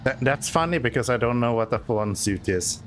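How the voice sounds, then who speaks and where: A man's voice: mid-range voice, expressive, brisk, slight background noise. A Swedish-accented man whose first language is Swedish, chatting casually and sarcastically while streaming a driving game. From Sweden. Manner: joking, self-mocking, sarcastic. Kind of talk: casual chat while playing.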